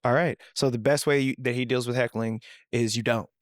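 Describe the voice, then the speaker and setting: A man's voice: mid-range voice, fairly even intonation, brisk, no echo, clean studio sound. A man hosting an interview, casually agreeing and laughing along with his guest. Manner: affirming, laughs along often. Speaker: podcast host.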